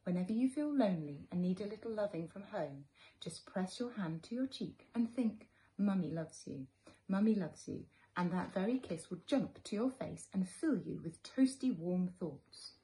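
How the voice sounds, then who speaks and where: A woman voicing a gentle, reassuring mother raccoon from a children's storybook, soothing and measured. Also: fairly low pitch, lively intonation, poor audio. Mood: tender.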